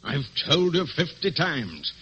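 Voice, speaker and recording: A middle-aged police inspector, bright-voiced and pompously old-fashioned. He blusters and stammers through a vintage radio drama. The recording is dull with some background noise. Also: fairly high pitch, big pitch swings, slow, exaggerated voice. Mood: scornful.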